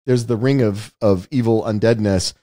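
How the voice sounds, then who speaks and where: A man's voice: fairly low pitch, steady tone, normal speed, warm tone, no echo, clean studio sound. A man co-hosting a casual film discussion, explaining his points emphatically and often rephrasing them. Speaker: podcast co-host discussing films.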